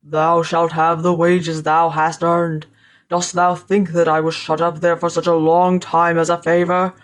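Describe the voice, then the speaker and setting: A woman voicing a huge, terrible male spirit in a storybook, with slow, booming, imperious and archaic speech. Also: flat delivery, close to an everyday voice. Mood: menacing. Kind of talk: storybook character voice.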